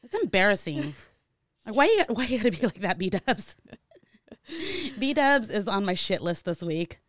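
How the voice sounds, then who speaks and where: A woman's voice: medium pitch, very animated, a little slow, a bit bright. Joking, profane woman chatting. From Mexican-American, United States. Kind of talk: casual chat.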